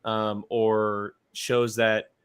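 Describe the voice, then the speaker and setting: A man's voice: on the low side, level tone, extremely slow, fairly bright tone, smooth, dry recording. Casual guy chatting in a dry room. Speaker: co-host of a movie talk show. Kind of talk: casual chat.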